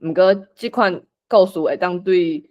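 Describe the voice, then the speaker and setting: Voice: mid-range voice, measured pace, dull audio. A host with a Taiwanese accent, moderating a casual discussion and inviting others to share.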